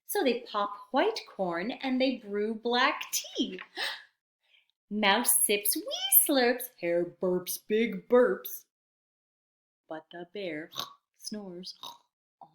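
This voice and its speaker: A woman reading a picture book aloud to young children in an expressive, teacherly manner, pausing to explain words. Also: medium pitch. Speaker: storytime reader for young children. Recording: roomy, echoey sound.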